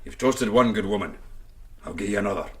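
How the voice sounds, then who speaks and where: Radio drama: hearty Scots gentleman soldier male actor, lowish pitch, bluff manner. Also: fairly quick, natural voice. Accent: Scottish.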